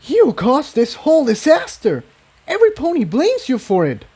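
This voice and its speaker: A man's voice: very high voice, huge pitch swings, moderate pace, warm tone, breathy edge, pitched above the performer's own voice, full character voice. A man voicing a bitter, sneering purple pony who snaps accusations with open contempt in a story reading. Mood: bitter, accusing. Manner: snapping, spitting contempt.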